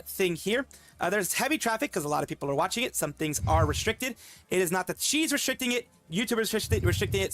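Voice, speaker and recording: A man's voice: on the high side, fairly bright tone. A man streaming commentary, reading a document aloud and stopping often to comment, with fillers and restarts. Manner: fast, halting, with frequent fillers and restarts.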